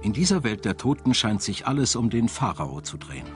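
A man's voice: lowish voice, big pitch swings, a little fast. A man reading scripted documentary narration in German voice-over style. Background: background music.

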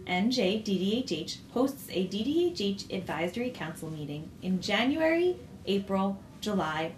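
Woman reading an announcement in an echoey room. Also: medium pitch. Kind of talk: read public service announcement. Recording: echoey room with faint ambient hiss.

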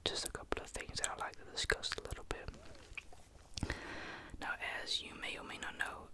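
A teenage man, whispering a rambling, casual chat into a close studio mic in a small bedroom. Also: normal speed. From Netherlands. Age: teens. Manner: casual, rambling, with many fillers. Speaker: ASMR YouTuber. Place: small bedroom with a sloped ceiling. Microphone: large studio mic close on the desk.

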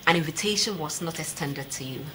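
A woman's voice: low voice, brisk, bright, forceful tone, a little hiss or hum, low-quality recording. A young woman with a Ghanaian accent hosting a TV sports interview, asking probing questions conversationally. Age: young adult. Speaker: sports show host, interviewer. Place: TV studio, seated at a desk.

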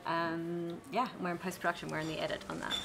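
A woman with a slightly low voice talks in a measured, matter-of-fact way about producing. She is on a lapel mic indoors, with a steady hiss under her voice. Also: vocal fry. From Tasmania, Australia. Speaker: film producer, interview guest. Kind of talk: casual interview answers. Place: seated indoors in a room with a leather sofa.

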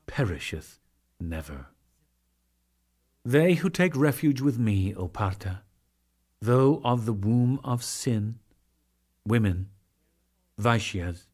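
A man performing a solemn divine lord in a dramatic scripture reading, declaiming in measured phrases with deliberate pauses. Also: lowish voice, a little mellow, slightly rough, full character voice. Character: Krishna, solemn divine lord teaching a devotee.

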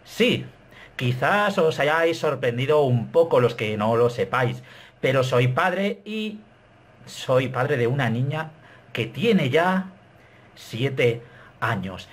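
A Spanish man in his thirties with a Castilian accent, talking to his webcam in a small bedroom, animated and self-mocking. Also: medium pitch, takes it slowly. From Spain. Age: 30s. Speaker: YouTuber. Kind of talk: casual vlog to camera.

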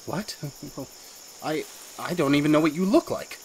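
A man's voice: on the high side, measured pace, close to an everyday voice. An actor playing a haunted, brooding man who confides like a narrator in a retro radio drama. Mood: startled, uneasy. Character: haunted husband confessing a murder plan, uneasy academic. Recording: faint background noise.

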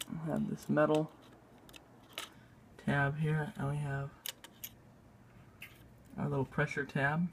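A man narrating a casual hands-on tutorial in a halting, unscripted way, thinking aloud as he works. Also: medium pitch, vocal fry, a little hiss or hum. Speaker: video maker demonstrating a repair. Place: indoors at a tabletop.

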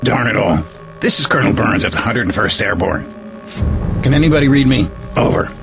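A man playing a gruff army colonel in an audio drama, irascible, barking and incredulous. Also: medium pitch, lively intonation, fairly quick, music in the background, lightly acted. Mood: commanding.

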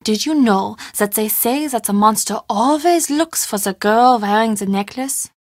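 An actress playing a formal, careful castle guest-services manager in a video game. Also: female voice, on the high side, unhurried, dry recording, studio quality, close to an everyday voice. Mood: hesitant, ominous. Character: polite, anxious female German castle guest-services manager.

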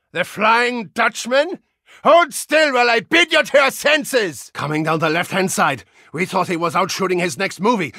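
An actor playing a boisterous German soccer-hooligan brawler with a soft side, in a bombastic, comically theatrical video game style with a German accent. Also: male voice, on the high side, vocal fry, husky, no echo, studio quality, full character voice. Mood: fired up. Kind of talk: animated video game character lines.

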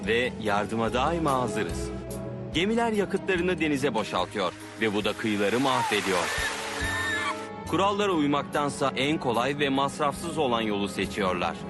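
An actor playing a dutiful environmental patrol pilot in a cartoon dub, reporting in a matter-of-fact way. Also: male voice, medium pitch, sing-song, moderate pace, over loud music, close to an everyday voice. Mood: resolute, businesslike. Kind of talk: animated educational cartoon dub.

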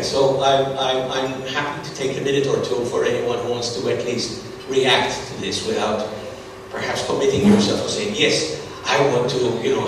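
A middle-aged man speaks on stage at a conference, pausing often with fillers and restarts. He sounds far from the recorder, and the sound rings around a big, reverberant hall. A faint hum sits behind him. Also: on the low side. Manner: hesitant, many fillers and restarts. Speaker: conference presenter. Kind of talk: conference Q&A discussion. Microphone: stage mic, recorded from far off.

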